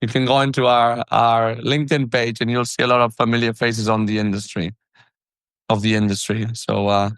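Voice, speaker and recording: A male podcast host keeps the talk casual and friendly, his phrases tumbling and restarting. His voice sits at an ordinary middle pitch in a dry, close recording. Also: little pitch movement, moderate pace, a bit bright, clean tone, no room sound. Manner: chatty, friendly, stumbling restarts.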